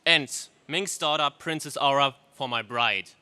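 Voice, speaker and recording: An actor playing a gallant, earnest prince in a melodramatic space serial radio play. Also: male voice, mid-range voice, a little slow, sharp tone, clear, resonant tone. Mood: resolute. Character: gallant, lovestruck heroic prince.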